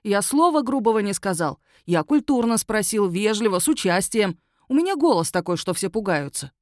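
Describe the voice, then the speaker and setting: A woman's voice: mid-range voice, very animated, moderate pace, a little mellow, no echo, clean studio sound, natural voice. A woman voicing an indignant, whiny male werewolf under arrest, protesting and complaining in a raised voice. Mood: defensive. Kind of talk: audiobook character voice.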